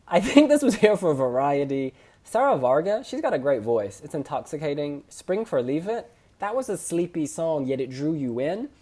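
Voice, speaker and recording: A man hosting a casual review chat, sharing opinions in an expressive way with emphatic phrasing. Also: a little high, normal speed. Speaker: host reviewing song contest entries.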